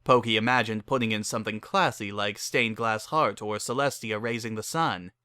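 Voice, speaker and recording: A man's voice: fairly low pitch, normal speed, sharp tone, clean tone, no room sound, clean studio sound. A man reading a scripted story aloud as a dramatic narrator.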